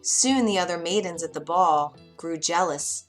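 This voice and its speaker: A woman narrating a children's bedtime story in an expressive, dramatic way. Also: lowish voice, a little slow, soft background music.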